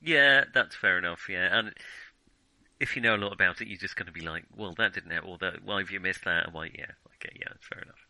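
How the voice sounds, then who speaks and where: A man's voice: sits low, fast, vocal fry, bright, forceful tone, no echo, rough recording. A British man co-hosting a podcast, chatting casually about films in a reactive way with frequent agreeing interjections. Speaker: podcast co-host.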